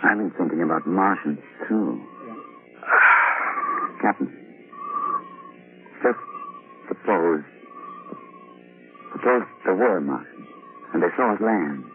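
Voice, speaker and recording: An actor playing a haunted, guarded scientist in a vintage radio drama, speaking in formal, measured, hesitant phrases. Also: male voice, low-pitched, animated, heavily acted. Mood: uneasy, suspicious. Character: haunted, guarded male scientist, Dachau survivor. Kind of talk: 1950s radio drama acting. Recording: muffled old radio broadcast, music behind.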